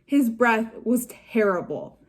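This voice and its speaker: A woman reads a children's chapter book aloud at an unhurried pace. Her voice sits a little high, and the telling stays slow and steady. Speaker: staff reader.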